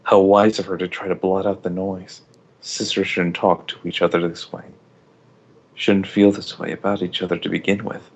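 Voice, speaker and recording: Man, low deep voice, amateur fiction narrator, melodramatic, occasional stumbles, dull lo-fi recording. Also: little pitch movement, normal speed, vocal fry. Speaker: fan fiction reader. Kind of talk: amateur dramatic reading of narration.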